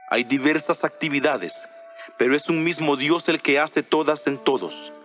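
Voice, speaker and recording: Male actor as earnest apostle teaching his flock: higher-set voice, solemn, measured, rhetorical, audio Bible drama over music. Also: a bit bright, close to an everyday voice. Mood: solemn, instructing.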